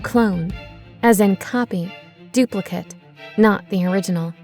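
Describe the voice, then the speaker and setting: A woman's voice: medium pitch, unhurried, a little mellow, soft background music, natural voice. A woman reading voicing a grieving young woman who screams in fury. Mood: scornful. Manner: screaming, furious.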